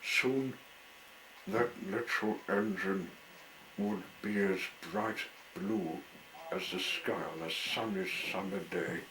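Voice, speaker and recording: A man reading a children's story aloud as a storyteller, in deliberate, broken phrases. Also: low voice, poor audio.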